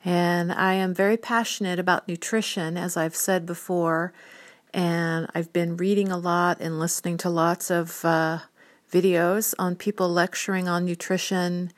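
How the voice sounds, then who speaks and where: A woman's voice: lowish voice, little pitch movement, unhurried. A woman in her fifties, an art model, talking earnestly in a rambling casual monologue, listing things one by one. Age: 50s.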